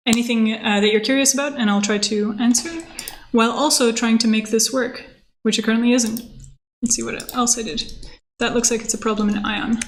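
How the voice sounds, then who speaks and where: A woman, explaining technical things casually and haltingly on a livestream. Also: a little high, slight background noise. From born in Moscow, grew up in Canada. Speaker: software engineer, livestream host.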